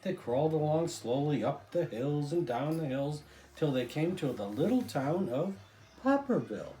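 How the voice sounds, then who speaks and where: An older man reads a children's story aloud in a soft-toned, mellow voice. His pitch swings up and down expressively, and he stretches important phrases. The room adds noticeable echo around him. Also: big pitch swings, moderate pace, faint music behind. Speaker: storybook reader, grandfather.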